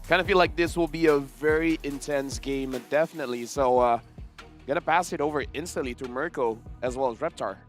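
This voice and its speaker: A man's voice: mid-range voice, fairly quick, soft background music. A man talking on an esports broadcast desk as host or analyst.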